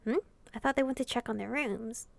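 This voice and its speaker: A woman's voice: on the high side, fairly quick, creaky. A woman reading a visual novel aloud expressively and commenting casually as she plays. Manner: animated reading, reacting informally to the story.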